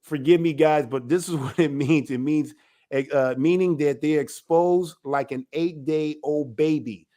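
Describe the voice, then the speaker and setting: A man, an elder of a ministry, giving a religious teaching talk in an emphatic, animated way. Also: on the high side, unhurried. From United States.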